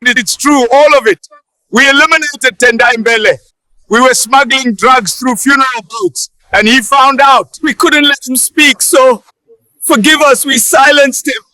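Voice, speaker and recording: A man's voice: extremely high pitch, fairly even intonation, unhurried, pushed and bright, dry recording, full character voice, low-quality recording. A man playing a solemn, guilt-ridden townsman making earnest appeals in a folktale drama. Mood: remorseful.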